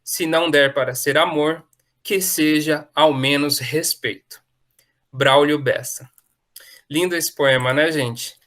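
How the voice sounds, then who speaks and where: A man's voice: a little high, steady tone, slow pace, a bit bright. A young Brazilian man moderating an online talk, moving between informal hosting and reading verse aloud. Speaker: moderator, youth ministry coordinator. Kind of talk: informal hosting and poem recitation.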